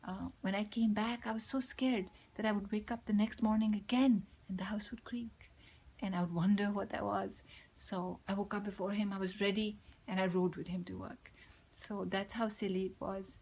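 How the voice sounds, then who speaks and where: A woman's voice: mid-range voice, fairly even intonation, normal speed, darkish tone, breathy edge. A middle-aged woman with a Pakistani accent from Lahore, telling a personal story reflectively and with many hesitant fillers, often dropping to a whisper.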